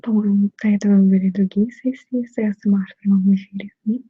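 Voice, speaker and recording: A woman with a Russian accent chats casually in a soft, breathy whisper, gently answering viewers on an ASMR stream with halting pauses, close to a sensitive microphone. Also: medium pitch, steady tone, dry recording, narrow, tinny audio. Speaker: ASMR creator hosting a live stream.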